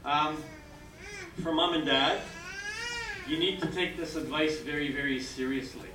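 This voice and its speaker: A man's voice: fairly high pitch, steady tone, measured pace. Older man giving an echoey ceremony speech. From Canada. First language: French. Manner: encouraging, repeatedly checks in with "okay?". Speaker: citizenship judge addressing new citizens. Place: large hall with an audience. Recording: echoey hall, mic far off, noisy.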